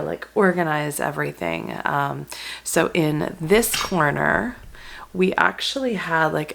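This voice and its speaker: A woman in her thirties, hoarse from a cold, chatting her way through a pantry clean-out vlog with lots of ums. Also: sits low, a bit bright. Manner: chatty, rambling with many ums. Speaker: homestead vlogger. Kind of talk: casual walkthrough vlog. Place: converted dining room pantry, indoors.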